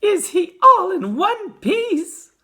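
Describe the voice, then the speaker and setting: A performer voicing a hysterical, horrified mother in a storybook, shrill and wailing as she cries out. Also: very animated, slow, sharp tone, heavily acted. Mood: panicked, tearful.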